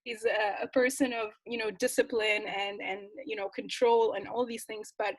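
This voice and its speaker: A young woman from Tunisia, an activist guest telling her personal story in an interview, earnest and passionate, often restarting phrases with soft laughs. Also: on the high side, level tone, normal speed, poor audio.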